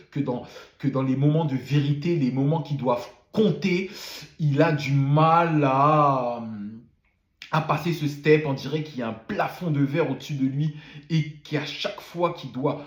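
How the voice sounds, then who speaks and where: A man with a cold talks through a race weekend in a loose, halting way, stumbling over repeated words. His voice sits at an ordinary middle pitch. The sound carries a noticeable room echo. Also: a little mellow, muffled recording. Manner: hesitant, many fillers and repeated words. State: has a cold, coughing. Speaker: F1 commentator. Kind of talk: casual post-race debrief.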